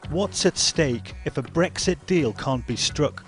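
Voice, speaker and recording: A male reporter narrating a news documentary in a measured, grave way. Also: on the high side, animated, faint music behind.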